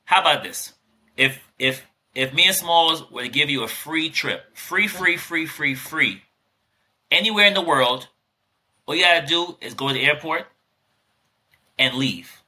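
A man's voice: fairly high pitch, lively intonation, unhurried, pauses often, bright, forceful tone. A man co-hosting a podcast, chatting casually and teasing his guest with jokes. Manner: joking, teasing, playful. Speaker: podcast co-host.

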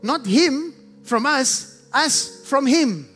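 South African preacher, very high male voice, emphatic and repetitive, leans hard on key words; long hall reverb with faint music underneath. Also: lively intonation, a bit bright. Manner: emphatic, repeats strings of synonyms, stretches key phrases slowly. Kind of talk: sermon.

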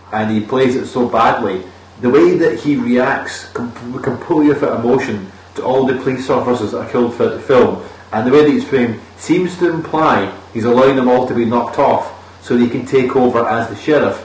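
A man's voice: medium pitch, a little fast. Sarcastic man, roomy distant sound. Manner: dry, sarcastic, laughs at his own jokes. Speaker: podcast co-host. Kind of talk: casual film review chat. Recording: roomy, distant mic, faint ambience.

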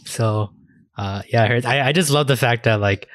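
A man's voice: mid-range voice, moderate pace, fairly bright tone, no room sound. A man hosting a casual, sweary podcast chat. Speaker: podcast host.

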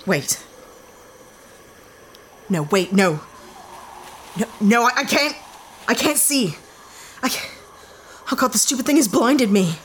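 A sharp-tongued young heroine stranded in an alien forest, voiced by a woman, who is sarcastic and exasperated. Her voice sits high and moves a great deal. Loud outdoor ambience fills the background. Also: very animated, takes it slowly, long pauses. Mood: frantic, exasperated. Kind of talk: audio drama acting. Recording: forest ambience noise behind.

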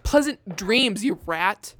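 A woman voicing a hard-boiled male police detective in an old-time radio drama, gruff, mocking and bullying. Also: medium pitch, lively intonation, takes it slowly, fairly bright tone, slight background noise.

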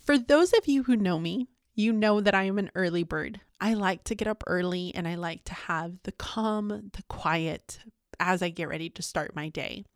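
A woman speaks casually to her vlog audience in a medium-pitched voice. Her manner is chatty and candid, readily self-deprecating, as if confiding in friends. The sound is dry, with very little room echo. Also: normal speed. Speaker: teacher and vlogger.

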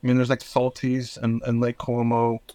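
A man's voice: mid-range voice, monotone, moderate pace, dark tone, clear, resonant tone, no room sound. Rambling man from New Jersey. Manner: rambling, full of restarts and "like", swears casually. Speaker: podcast guest, band founder. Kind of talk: casual conversation.

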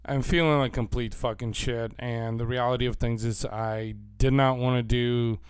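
A man hosting a podcast with a hoarse voice, blunt and crude-spoken, in casual chat. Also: mid-range voice, steady tone, normal speed, no room sound, clean studio sound. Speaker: podcast host. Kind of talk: casual podcast intro with profanity.

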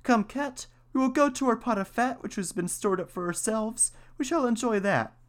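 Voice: mid-range voice, expressive, normal speed, voice pushed up, close to an everyday voice. A person voicing a small, trusting, easily indignant female mouse in a storybook fairy tale. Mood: hopeful.

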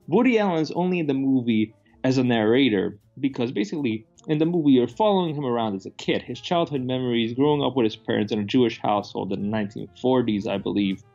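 A man's voice: mid-range voice, a little mellow. A man co-hosting a casual film discussion in a blunt, informal, conversational manner. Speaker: podcast co-host. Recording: dull lo-fi sound, music behind.

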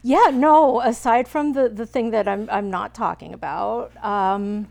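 A woman co-hosting a podcast in casual chat, talking haltingly with many ums and restarts. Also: a little high, gravelly, darkish tone. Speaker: podcast co-host.

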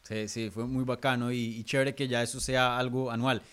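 Male interviewer, mid-pitched voice. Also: level tone, moderate pace, a bit bright, clean tone. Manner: friendly, rambling questions with hesitant fillers. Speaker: interviewer, host. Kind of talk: casual interview questions.

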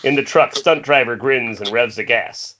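Bright-voiced man narrating a screenplay. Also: mid-range voice, normal speed, a little hiss or hum. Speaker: reader of stage directions. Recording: dull lo-fi internet call.

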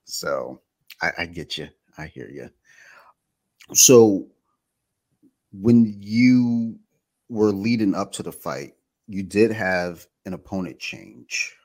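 A man hosting an interview, talking in a relaxed, conversational way with lots of "like" and "you know". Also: low-pitched, takes it slowly, long pauses. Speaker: podcast host, interviewer. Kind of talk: casual interview chat.

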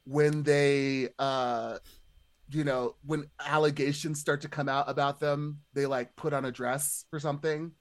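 A man's voice: a little high, little pitch movement, unhurried, no echo. A man in casual podcast conversation, chatty and hesitant, padding his thoughts with lots of filler words. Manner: chatty, hesitant, many "like" hedges. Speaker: podcast panelist.

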